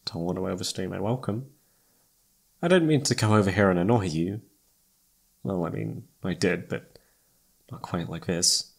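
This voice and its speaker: A man playing a self-doubting, lovestruck best friend in an intimate ASMR roleplay, speaking softly and haltingly with tender hesitation. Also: deep voice, gravelly, husky, exaggerated voice. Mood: flattered, awkward. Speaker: amateur voice actor.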